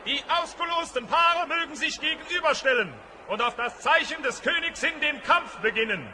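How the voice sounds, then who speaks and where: A man's voice: very high-pitched, lively intonation, moderate pace, pushed and bright, exaggerated voice. A man playing a stern royal captain, announcing formally in a fantasy radio play. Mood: formal, commanding. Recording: reverberant, faint background noise.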